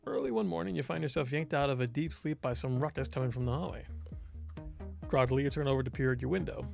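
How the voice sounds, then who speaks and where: A man's voice: medium pitch, fast talker, gravelly, faint music behind. A man reading visual novel narration aloud expressively, as a let's player and voice actor would.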